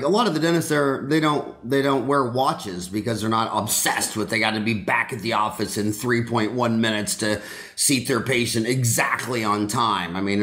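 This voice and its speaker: A man's voice: medium pitch, a bit bright. A middle-aged man hosting a podcast, chatting in a rambling, enthusiastic, joking way with many fillers. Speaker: podcast host, dentist. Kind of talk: casual interview chat.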